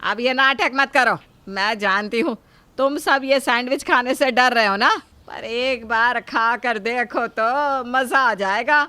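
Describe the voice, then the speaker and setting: A woman's voice: on the high side, measured pace, bright, forceful tone, clear, resonant tone. A woman playing a proud, touchy middle-aged mother in a cartoon family comedy, with an insistent, boastful way of talking. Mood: offended.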